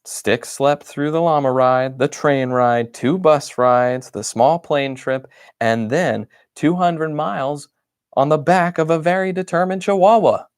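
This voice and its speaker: A man's voice: a little high, unhurried, smooth, no room sound, clean studio sound. A man doing a grumpy stuffed bunny. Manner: exasperated complaining diary reading. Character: grumpy put-upon stuffed bunny, male.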